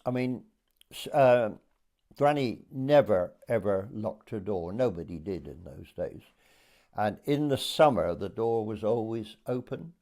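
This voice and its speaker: An Englishman in his eighties from Dorset, recalling his childhood for an oral history interview in a hesitant storytelling way with pauses. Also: on the low side, animated, creaky, a little mellow. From Dorset, England. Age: 80s.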